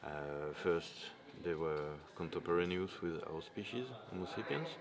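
A man's voice: deep voice, animated, moderate pace, crowd noise. A man in his forties from France with a French accent, answering interview questions as an archaeologist. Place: open cave mouth at a dig site. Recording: noisy outdoor field recording.

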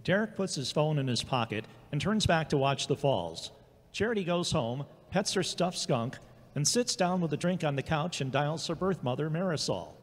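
A man's voice: fairly high pitch, expressive, moderate pace. A man narrating a scripted audio drama. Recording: echoey reverb, loud guitar music behind. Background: guitar music.

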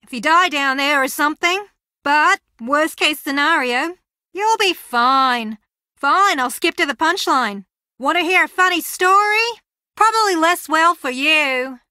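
A young woman competing on a reality show in a video game, boastful and theatrical. Her voice is fairly high and very bright, with a sassy, combative edge. The sound is dry. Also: animated, measured pace, no echo, full character voice. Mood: haughty, defiant.

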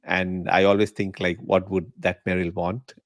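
A middle-aged man from Sri Lanka, a guest on a podcast video call, talking in a relaxed, chatty way with frequent laughs. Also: low-pitched, normal speed, no echo, studio quality. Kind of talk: casual interview chat. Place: home living room. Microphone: podcast mic in frame, video call.

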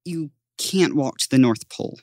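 A woman's voice: deep voice, normal speed, a little mellow, dry recording, clean studio sound, close to an everyday voice. A woman playing an earnest, literal-minded, matter-of-fact young boy in an audiobook. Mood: earnest, dry. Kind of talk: full-cast audiobook acting.

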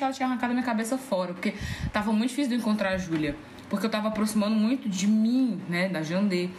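Informal woman, slightly echoey room. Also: medium pitch. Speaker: podcast guest, voice actress. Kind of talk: casual podcast conversation. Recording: roomy echo, faint background noise.

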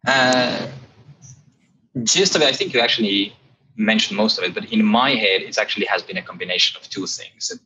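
A man's voice: fairly low pitch, lively intonation, normal speed, muffled recording, noisy background. A man in his thirties with an Estonian accent, a startup founder speaking on a video call, thoughtful and full of "uh" fillers. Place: home room indoors. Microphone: earbuds on a video call.

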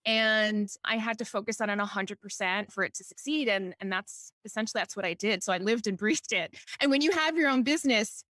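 A woman's voice: mid-range voice, fairly quick, few pauses, pushed and bright, no room sound. A middle-aged woman from Hawaii talking enthusiastically and chattily as a guest, telling stories in a casual conversational way. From Honolulu, Hawaii. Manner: chatty and enthusiastic, laughs often. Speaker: podcast guest, entrepreneur and author.

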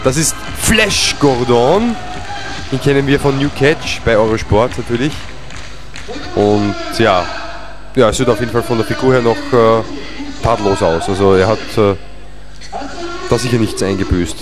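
A man's voice: medium pitch, moderate pace, long pauses, over music. A man doing comedic wrestling commentary, joking around and dropping in mock-French phrases.

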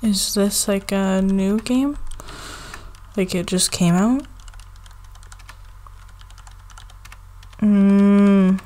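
A woman playing a needy, affectionate young girlfriend in an intimate ASMR roleplay, with a soft, halting, pleading delivery. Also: medium pitch, fairly even intonation, natural voice. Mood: affectionate, cuddly.

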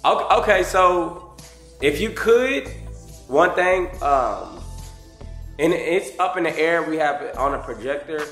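Young man, roomy sound, halting prank caller. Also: fairly high pitch, animated, gravelly, a bit bright, faint music behind. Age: young adult. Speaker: host making prank phone calls. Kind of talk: casual prank call posing as a booking agent. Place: wood-panelled room indoors.

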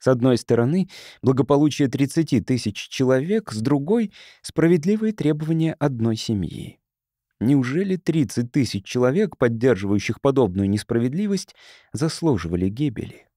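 A man narrates a science fiction audiobook in a soft, mellow voice at a middle pitch. The sound is dry and close, with scripted, measured storytelling delivery. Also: very animated, dry recording.

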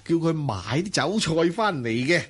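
A man with a Hong Kong Cantonese accent playing a smooth, lecherous, wealthy merchant in a radio drama, coaxing and flirting. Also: on the high side, animated, normal speed. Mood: flirtatious coaxing.

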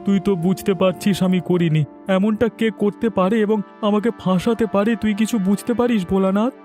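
A man's voice: sits high, fairly even intonation, fairly quick, dark tone, faint music behind, natural voice. A man voicing a wronged, aggrieved village elder brother in a dramatic cartoon. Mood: downcast.

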